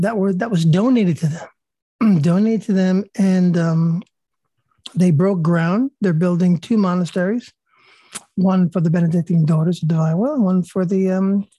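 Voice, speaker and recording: A woman hosting an online prayer and reading group, reciting prayers calmly and then chatting with many fillers and restarts over a video call. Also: on the low side, breathy edge, no echo. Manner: calm and measured, with many fillers and restarts in chat. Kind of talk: leading prayers, then casual group chat.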